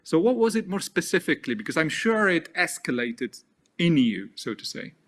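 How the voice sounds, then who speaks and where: A man's voice: a little high, very animated, normal speed, a bit bright. Polite man moderating a panel. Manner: polite, probing follow-up questions.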